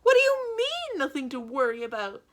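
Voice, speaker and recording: A woman voicing a panicked, babbling male banker in a storybook read-aloud, shrill and exclamatory. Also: wildly swooping pitch, a bit bright, exaggerated voice. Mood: frantic alarm. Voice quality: shrill, high-pitched. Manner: exclamatory, rapid-fire babbling.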